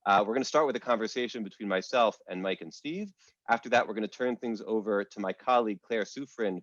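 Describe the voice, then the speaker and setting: A man hosting and introducing guests over a video call, speaking in a measured way with frequent "uh" fillers. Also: fairly low pitch, no echo. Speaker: academic host and moderator. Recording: dull, lo-fi video call audio.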